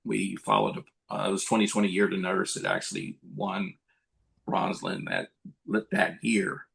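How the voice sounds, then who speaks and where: A man's voice: low voice, very animated, normal speed, gravelly, fairly bright tone, breathy edge. A man speaking informally as a film producer in a casual Q&A, piecing his memories together aloud. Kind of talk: casual question-and-answer chat.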